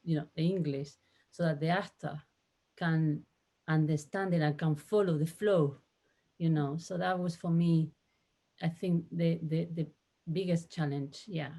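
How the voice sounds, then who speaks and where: A woman from Spain with a Spanish accent, a native Spanish speaker, explaining her work in an informal panel discussion, often pausing to search for words. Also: sits low, fairly even intonation, warm tone. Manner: halting, searching for words, frequent "you know". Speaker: translator.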